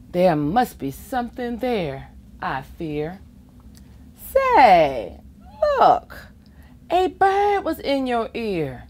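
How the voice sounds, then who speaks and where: A middle-aged woman reading a rhyming picture book aloud to children in an expressive, playful way, with asides of her own. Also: fairly high pitch. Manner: expressive, chuckling asides, acts out the rhymes. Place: library children's reading corner, indoors.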